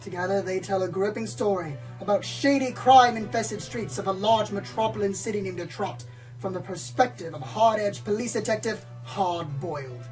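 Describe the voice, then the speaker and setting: A woman's voice: mid-range voice, moderate pace, darkish tone, distant mic, soft background music, lightly acted, low-quality recording. A woman playing a pompous, dramatic male pony storyteller who loves noir detectives, florid and self-important, in a comedic radio play. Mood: earnest, grand. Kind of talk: comedic radio play, hardboiled narration parody.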